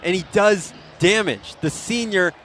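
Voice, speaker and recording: A man with a fairly high voice provides color commentary on a live football broadcast. He talks conversationally, explaining what happened and why. He readily adds his own opinions on the play calls. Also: measured pace, fairly bright tone, a little hiss or hum. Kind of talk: live sports analysis.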